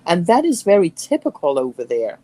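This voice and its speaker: A woman from England with a lowish voice, co-hosting a podcast. She talks earnestly in long, flowing runs, with quick asides mixed in. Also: huge pitch swings, mellow. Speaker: podcast co-host. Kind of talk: casual podcast discussion.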